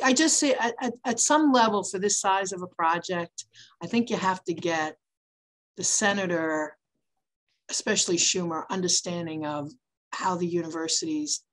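Slow, halting woman in a meeting. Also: fairly low pitch, fairly bright tone. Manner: halting, thinking aloud. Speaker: meeting participant. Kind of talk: meeting discussion. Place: video conference meeting.

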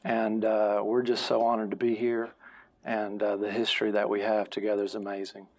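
Gravelly pastor, a man. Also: fairly low pitch, monotone, moderate pace, darkish tone. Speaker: pastor, programme guest. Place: TV studio, seated on a sofa. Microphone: lapel mic.

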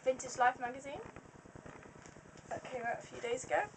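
A young woman talks casually to a webcam in a small room, her fairly high voice slightly croaky and full of ums as she rambles along. The audio is noisy and a little distant from the mic. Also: level tone, moderate pace. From Wales. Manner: chatty and rambling, many ums. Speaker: beauty vlogger. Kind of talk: casual haul video. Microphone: webcam at close range.

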